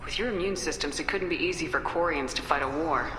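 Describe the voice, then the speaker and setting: A woman's voice: deep voice, fast, close to an everyday voice. A woman playing a confident, caring military commander in a video game, direct in manner. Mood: probing. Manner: direct, probing questions. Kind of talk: video game dialogue acting. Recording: echoey hall, music behind.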